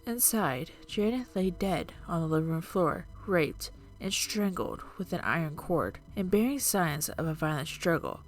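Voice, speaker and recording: Low-pitched woman narrator over music. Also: lively intonation, unhurried, few pauses. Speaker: narrator and host of a video channel. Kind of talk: scripted true-crime and urban legend narration.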